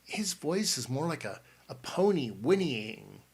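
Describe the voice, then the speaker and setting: A man's voice: a little high, big pitch swings, unhurried, darkish tone, slightly rough, heavily acted. A man reading an audiobook and voicing a blunt, wary teenage boy who grumbles.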